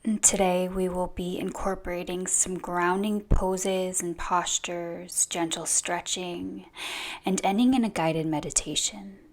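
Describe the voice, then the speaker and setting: A woman yoga instructor leading a gentle guided meditation in a soothing, hushed, unhurried way, with a soft voice that turns breathy at times. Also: lowish voice, fairly even intonation. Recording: soft music behind the voice. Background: music.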